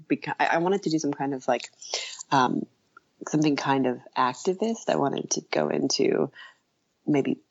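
A woman's voice: deep voice, vocal fry, highs cut off. An American woman being interviewed as a writer, talking casually and hesitantly, repeating words as she thinks. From Iowa, United States. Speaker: interview guest, writer and journalist.

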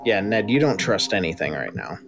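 A man's voice: low voice, sing-song, brisk, creaky, music in the background. A man running a tabletop game as game master, laid-back, calling for dice rolls and narrating.

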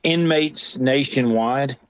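A man's voice: medium pitch, takes it slowly, smooth, no echo. A man co-hosting a podcast over a remote call, laid-back and jokey in casual chat. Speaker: podcast co-host. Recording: dull lo-fi call audio.

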